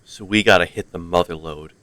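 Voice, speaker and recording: A man's voice: sits low, a little fast, sharp tone, dry recording, low-quality recording. A man streaming a video game, giving casual commentary with playful asides.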